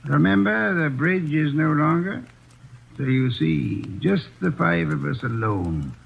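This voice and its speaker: A fussy, imperious detective holds court in an old radio play with a gravelly, slightly high voice. He reasons with cool, pompous precision. The dull, lo-fi sound of an old radio recording surrounds him. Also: expressive, unhurried, full character voice. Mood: commanding. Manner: precise, pompous, coolly logical. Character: portly, fastidious, imperious male detective. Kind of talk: 1940s radio acting.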